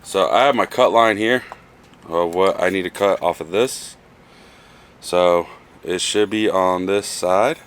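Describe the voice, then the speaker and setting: A man's voice: low-pitched, measured pace, a little hiss or hum. Nasal man vlogging from his garage. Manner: casual, mutters while working, swears. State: nasal from a cold. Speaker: car project vlogger. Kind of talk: casual garage vlog. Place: home garage.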